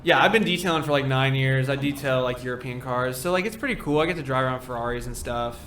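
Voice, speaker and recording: A man's voice: medium pitch, fast talker, a bit bright, echoey room, slight background noise. A man in his twenties, a band member, chatting as a podcast guest and telling loose stories with quoted asides. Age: 20s. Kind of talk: casual chat.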